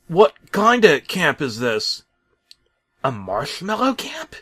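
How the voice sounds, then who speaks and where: A squealing childlike toy truck. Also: male voice, wildly swooping pitch, slow pace, a bit bright, husky, exaggerated voice. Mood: childlike excited. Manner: high, squealing and panicky. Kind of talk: storybook parody voice.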